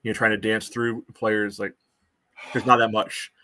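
Male podcast co-host, low flat delivery, halting casual sports analysis full of "you know". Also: no echo.

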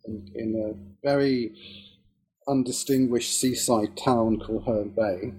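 A man's voice: mid-range voice, steady tone, slow pace, darkish tone, husky, rough recording. A man raised in England after an early childhood in Israel, speaking English with an English accent and being interviewed about his life in music, thoughtful and hesitant with many restarts. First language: Hebrew. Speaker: guest, music academic and musician. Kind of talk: relaxed interview conversation.

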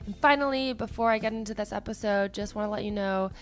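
A woman hosting a podcast in a casual, chatty way, her voice hoarse and partly lost after travel, with many fillers. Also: medium pitch, fast talker, faint music behind. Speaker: podcast host.

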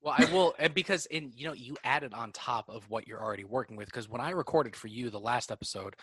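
Bright, husky-voiced man chatting. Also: medium pitch, fast, dry recording. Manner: relaxed, swears casually, lots of "like". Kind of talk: casual conversation.

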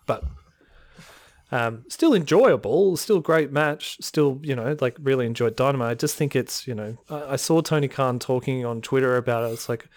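A man on a podcast vents his frustration in a casual, rambling way, sighing between thoughts. His voice sits at an ordinary pitch and is a touch hoarse, recorded close and dry with no room sound. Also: normal speed, a little mellow, dry recording. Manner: frustrated ranting with sighs. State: slightly hoarse. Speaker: podcast co-host. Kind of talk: casual chat.

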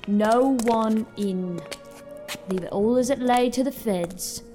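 A woman's voice: on the high side, dark tone, natural voice. A woman playing a no-nonsense police officer on guard duty in an audio drama. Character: brisk, no-nonsense policewoman guarding a crime scene.

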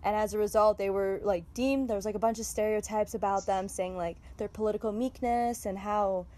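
A woman's voice: medium pitch, fairly even intonation, a little fast, dark tone. Giggly teenage girl podcast co-host. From Chicago, USA. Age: teens. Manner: giggly, chatty, lots of "like". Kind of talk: casual chat.

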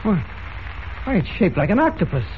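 A man's voice: sits high, very animated, fairly quick. An actor playing an earnest, plucky young male adventure hero in an old radio drama. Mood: puzzled. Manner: earnest, clean-cut. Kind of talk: 1940s radio drama acting. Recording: muffled, noisy old radio recording.

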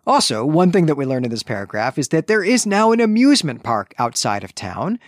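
A man's voice: a little high, very animated, a little fast, no room sound, studio quality. A man co-hosting a book podcast, explaining and analyzing a story and often checking in with a rhetorical question. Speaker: podcast co-host. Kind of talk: analytical book recap discussion.

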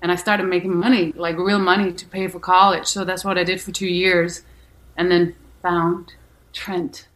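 Candid low-voiced woman from Denmark. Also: little pitch movement, normal speed, fairly bright tone, poor audio. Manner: candid, many restarts and "you know" fillers. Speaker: actress and musician guest. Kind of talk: casual interview answers.

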